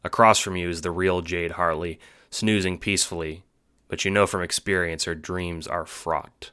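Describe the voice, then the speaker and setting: A man's voice: sits low, fairly even intonation, moderate pace, sharp tone, smooth, no echo, clean studio sound. A man reading scripted second-person narration as the narrator of an audio drama.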